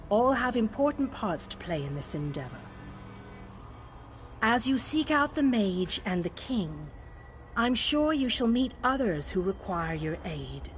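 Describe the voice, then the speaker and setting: An actress playing a solemn female mystical advisor in a fantasy game, speaking in a measured, formal, portentous way. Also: medium pitch, slight background noise. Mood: instructive, formal. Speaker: quest-giving advisor character. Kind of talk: fantasy game lore narration and dialogue.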